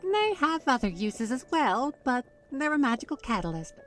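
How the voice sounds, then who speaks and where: A woman's voice: high-pitched, sing-song, moderate pace, far from the mic, faint music behind. A woman playing a playful, proud young witch who talks in a teasing, theatrical way in a fantasy audio drama. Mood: teasing, intrigued. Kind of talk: fantasy audio roleplay acting.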